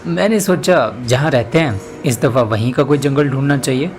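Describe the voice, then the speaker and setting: A man playing a lively young university student who loves adventure, in an audio drama. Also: mid-range voice, moderate pace, faint music behind, lightly acted. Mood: eager. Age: young adult.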